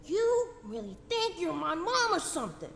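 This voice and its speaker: A woman playing an excitable, whiny young boy in a radio drama, easily scared and bratty. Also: extremely high pitch, normal speed, creaky, in a large hall, a crowd in the background, full character voice. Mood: indignant.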